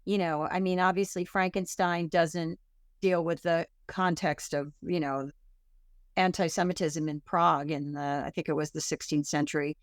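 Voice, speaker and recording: A woman's voice: low voice, steady tone, moderate pace, no echo, studio quality. A woman guest in an interview, answering in a chatty way with many fillers and restarts. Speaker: author and klezmer singer, guest.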